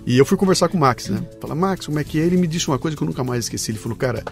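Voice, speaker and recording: A man's voice: fairly high pitch, expressive, rapid-fire, vocal fry, soft background music. A man hosting an interview podcast, emphatic and prone to repeating words. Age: 50s. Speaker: podcast host. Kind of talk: conversational interview.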